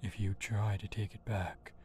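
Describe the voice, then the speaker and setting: A man's voice: lowish voice, little pitch movement, moderate pace, a little mellow, natural voice. A man playing a solemn ancient spirit in a comic dub, speaking in a grave, foreboding manner. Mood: grave warning.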